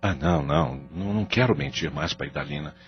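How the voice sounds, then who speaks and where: A man's voice: a bass voice, quick pace, husky, muffled recording, full character voice. A man acting a troubled, remorseful husband and father in an audio soap opera, brooding and emphatic. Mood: weary, brooding.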